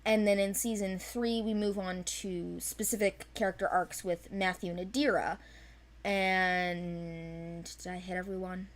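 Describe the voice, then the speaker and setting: A woman's voice: lowish voice, steady tone, unhurried. A woman speaking casually and off the cuff, explaining things in an informal, chatty way as a creator presenting a project. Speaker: animated series creator presenting characters for auditions. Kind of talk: casual unscripted project discussion.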